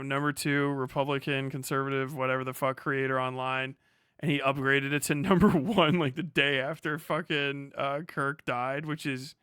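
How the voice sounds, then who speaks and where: A man's voice: mid-range voice, pushed and bright, clean tone, no room sound, clean studio sound. An American man chatting casually on a livestream while gaming, with irreverent, sarcastic and profane commentary. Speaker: live streamer commenting while playing a video game.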